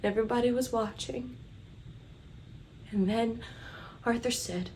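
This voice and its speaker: A young woman acting a nervous, naive religious high school girl in a dramatic monologue, hesitant and confiding. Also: steady tone, measured pace, a little mellow, slight background noise, close to an everyday voice. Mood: uneasy, confiding.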